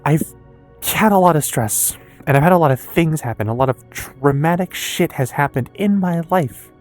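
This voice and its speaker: A woman in her early twenties with an American accent talks candidly and casually in a confessional personal vlog, rambling haltingly with pauses and some swearing. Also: low voice, expressive, darkish tone, faint music behind. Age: early 20s. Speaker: vlogger and streamer.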